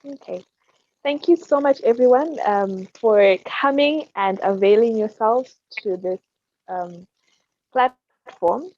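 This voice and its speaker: A woman hosts an online discussion panel in a friendly, lightly teasing way. Her voice comes through a dull, dry video call mic, and she pauses now and then on an um. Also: fairly high pitch, mellow, no room sound. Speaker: podcast host. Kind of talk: casual online panel chat.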